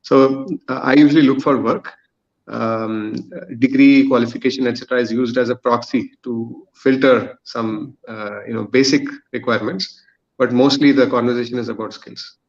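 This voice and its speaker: A man speaking as an employer on a podcast panel, in halting, stop-and-start phrases. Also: mid-range voice, fairly even intonation, muffled recording.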